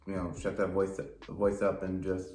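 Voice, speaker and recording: A young man chatting casually, pausing often and searching for his words. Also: sits low, completely flat delivery, a little mellow, roomy sound, soft background music. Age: young adult. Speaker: partner of the vlogger. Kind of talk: casual chat.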